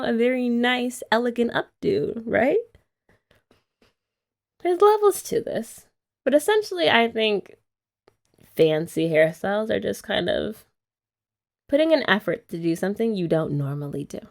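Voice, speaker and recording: Chatty young woman, gravelly lively ASMR voice. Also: on the high side, normal speed. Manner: chatty, giggly, rambling with light laughs. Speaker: ASMR roleplay host playing a girlfriend. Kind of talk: soft-spoken ASMR roleplay chat.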